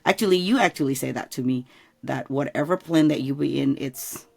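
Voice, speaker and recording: A woman's voice: rumbling low, fairly quick, gravelly, darkish tone. A middle-aged woman from Indonesia with an Indonesian accent, hosting a casual interview chat and searching for words between fillers. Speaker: podcast host.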